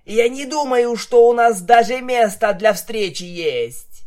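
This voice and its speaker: A panicked young stallion courier who shouts his excuses in a rush, as a reader puts him on. The voice is raised well above the reader's own and jumps around in pitch. A fan audiobook reading. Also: animated, measured pace, natural voice. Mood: frantic. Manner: frantic, pleading, loud.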